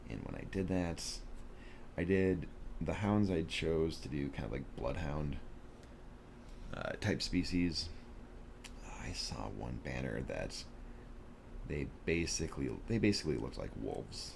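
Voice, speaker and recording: A man talking softly in an ASMR drawing video, relaxed and slow, slipping into whispers now and then. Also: gravelly, noisy background. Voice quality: deep voice. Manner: soft-spoken, slow and relaxed, drifting into whispers. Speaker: ASMR artist. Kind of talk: soft-spoken ASMR chat while drawing.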